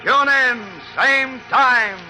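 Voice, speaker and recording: A man's voice: very high-pitched, fairly even intonation, extremely slow, pushed and bright. A man narrating a 1940s radio serial as its announcer, in a dramatic, suspenseful manner. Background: music.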